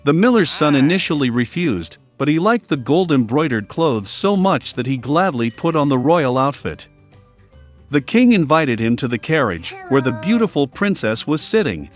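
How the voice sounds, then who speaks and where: Lively male fairy-tale narrator. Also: on the high side, normal speed. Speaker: storyteller. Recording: soft music behind the voice. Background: background music.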